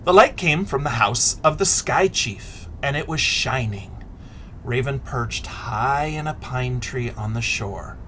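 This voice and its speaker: A middle-aged man reading a picture book aloud expressively to children, deliberate and dramatic, often dropping into a hush. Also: medium pitch, animated, slight background noise. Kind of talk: expressive children's read-aloud with asides and questions.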